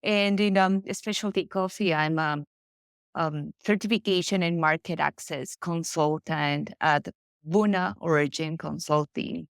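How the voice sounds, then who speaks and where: Lowish, smooth-voiced woman from Ecuador. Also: steady tone, measured pace, dry recording, studio quality. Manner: careful, hesitant, with many fillers. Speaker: coffee sourcing consultant, guest. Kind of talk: interview answers.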